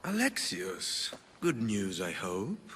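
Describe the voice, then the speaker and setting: An actor playing a suave, smug schemer of ancient Greece in a video game, measured and quietly menacing. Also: male voice, fairly low pitch, wildly swooping pitch, a little hiss or hum, heavily acted. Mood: smug, calculating. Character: sly, smug wealthy schemer in ancient Greece, hiring a mercenary. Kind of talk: cinematic video game acting. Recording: background music under dialogue.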